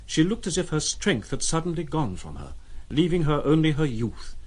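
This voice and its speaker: An actor as a reflective narrator of a literary audio drama, measured and expressive. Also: male voice, fairly high pitch. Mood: wistful.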